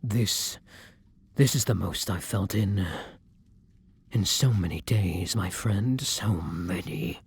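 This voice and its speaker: Man acting as a tormented gentle vampire, low voice, very breathy, halting, intimate ASMR roleplay. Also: dry recording, exaggerated voice. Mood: sorrowful confession. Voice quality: breathy, hushed, dropping into whispers. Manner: slow, halting, dramatic pauses. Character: tormented, gentle male vampire torn between hunger and compassion.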